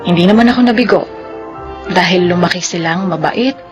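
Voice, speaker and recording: A Filipino woman playing a gentle, hardworking widowed mother in a radio drama. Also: lowish voice, brisk, over loud music, natural voice. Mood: wistful.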